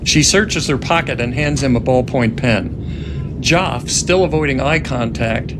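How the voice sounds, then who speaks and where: A man with a gravelly voice reading scene directions as narrator of a dramatic script reading. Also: unhurried. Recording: noticeable background rumble.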